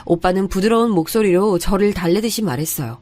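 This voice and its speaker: A woman's voice: mid-range voice, fast, mellow. A woman in her thirties narrating a first-person story in a confiding, conversational way.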